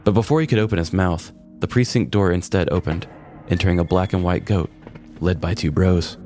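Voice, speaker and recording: Low-pitched man telling a comic story. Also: a little fast, darkish tone, faint music behind. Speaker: podcast host and storyteller. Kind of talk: scripted comic story narration.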